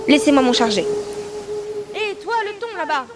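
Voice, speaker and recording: Woman playing a very high-pitched, indignant young nymph. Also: sing-song, moderate pace. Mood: jealous rage. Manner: indignant, theatrical. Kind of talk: amateur audio drama acting.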